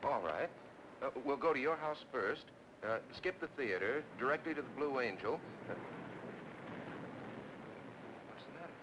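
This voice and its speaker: An actor playing a practical, attentive and teasing suitor in 1940s film noir style. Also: male voice, mid-range voice, animated, brisk, natural voice. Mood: brisk, concerned. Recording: old film soundtrack, faint noise.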